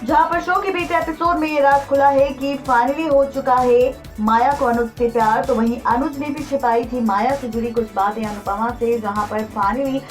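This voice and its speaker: A woman with an Indian accent narrates entertainment news in a fairly high voice. Her scripted delivery is emphatic and dramatic, like a teaser for TV serial updates. Music plays faintly behind her. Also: steady tone, normal speed, hardly any pauses. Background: background music.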